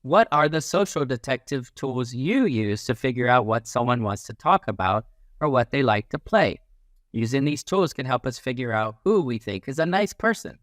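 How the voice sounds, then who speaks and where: Male teacher-narrator for kids' educational picture book: lively melody, instructive delivery, close dry sound. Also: mid-range voice, moderate pace, no room sound. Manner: animated, instructive, acts out little sounds and quotes. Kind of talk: expressive children's read-aloud.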